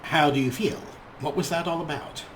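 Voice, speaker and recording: A man's voice: fairly high pitch, normal speed, a bit bright, a little hiss or hum. A man hosting a gaming let's play, commenting in character with theatrical comic role-play.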